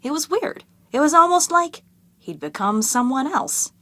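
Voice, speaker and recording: An opinionated, catty movie crew worker in an adventure game. Her high voice swoops emphatically up and down, with a dry studio sound. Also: animated, moderate pace, a bit bright, no echo. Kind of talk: scripted adventure game dialogue.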